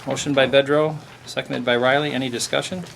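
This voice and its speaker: A man chairing a school board meeting in a procedural, brisk way. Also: medium pitch, steady tone, vocal fry, fairly bright tone, slight background noise, low-quality recording.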